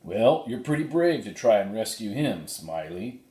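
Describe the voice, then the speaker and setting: Guy doing a folksy old west cowboy, tough but kind, slow talker, echoey room. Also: on the low side, very animated, mellow. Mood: wary, folksy. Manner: folksy, drawn-out cowboy talk. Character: plain-spoken, tough but kindly cowboy horse herder. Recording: roomy, echoey sound.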